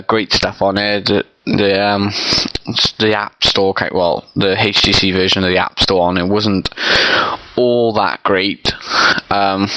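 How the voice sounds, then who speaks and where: Young man, blocked nose, low flat voice, bright crisp tone, rambling vlog chat. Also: dry recording, rough recording. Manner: rambling, many ums and you-knows. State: congested, stuffy nose. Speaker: vlogger. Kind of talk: casual unscripted opinion vlog.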